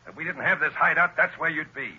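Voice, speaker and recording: An actor playing a tough gangster on the run who talks in a gruff, bossy way, in a 1930s radio drama. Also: male voice, on the high side, fast talker. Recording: muffled old radio recording.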